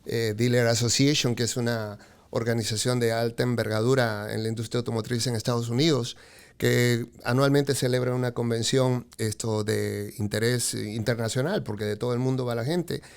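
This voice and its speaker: A man's voice: medium pitch, fairly even intonation, normal speed, clear, resonant tone. A man in his fifties, a guest on a podcast, telling his career story with many fillers and a habit of saying "correcto". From Peru. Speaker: podcast guest, car dealership expert. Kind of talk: casual interview storytelling.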